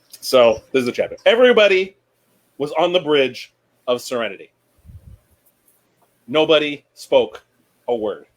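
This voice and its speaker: A man speaking as a panelist, chatting casually and reading book excerpts aloud in a measured, storytelling way. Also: medium pitch. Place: remote online panel from home.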